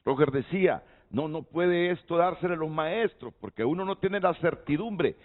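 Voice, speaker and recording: A man's voice: a little high, lively intonation, normal speed. A man hosting a TV panel, posing questions and inviting guests' opinions with hesitant restarts. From Honduras. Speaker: TV talk show host. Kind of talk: moderating a panel discussion. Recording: tinny lo-fi broadcast audio.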